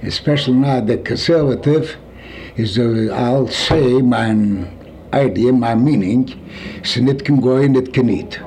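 A man in his seventies from Poland with a Yiddish accent, recalling his life in an interview, halting and searching for words. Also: mid-range voice, a little mellow, a little hiss or hum. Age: 70s. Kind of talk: oral history recollection.

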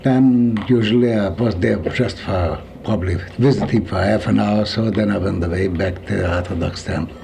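A man in his seventies from Poland with a Yiddish accent, recalling his life in an interview, halting and searching for words. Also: fairly low pitch, steady tone, gravelly, noisy background. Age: 70s. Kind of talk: oral history recollection.

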